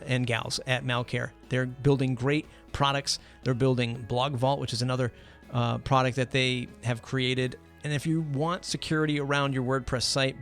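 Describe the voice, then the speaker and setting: Chatty man hosting a podcast, music behind. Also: medium pitch. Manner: chatty, informal, emphatic repetition. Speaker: podcast host. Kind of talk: casual ad-libbed sponsor reads and interview.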